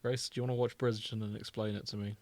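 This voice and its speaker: A man's voice: lowish voice, level tone, very fast, a little mellow, no echo. Man in casual podcast banter, dry close sound. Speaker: podcast co-host. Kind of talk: casual comedic chat, reading out fan fiction tags.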